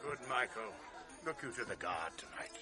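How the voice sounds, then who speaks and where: An actor playing a commanding general, measured and authoritative, speaking from a distance in a classic radio drama. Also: male voice, mid-range voice, animated, over music, natural voice. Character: commanding, newly married male general.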